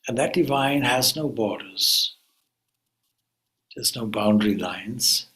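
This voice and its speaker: A man leading a guided meditation as a spiritual teacher, speaking calmly and deliberately in short phrases with pauses. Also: medium pitch.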